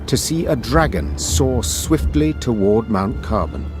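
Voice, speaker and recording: A man playing a storyteller, narrating a grave war saga for a fantasy game in a measured, solemn manner. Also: mid-range voice, sing-song, over music. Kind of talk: scripted dramatic storybook narration.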